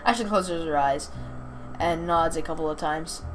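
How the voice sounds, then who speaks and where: A woman's voice: low-pitched, normal speed, music in the background. A woman reading a visual novel aloud, stumbling over words and rereading them, with off-script comments. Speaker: amateur reader of a visual novel.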